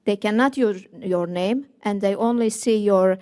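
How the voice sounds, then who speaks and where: Female panelist, mellow warm tone, hesitant delivery, non-native speaker. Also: mid-range voice, measured pace. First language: not Finnish. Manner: hesitant, searching for words.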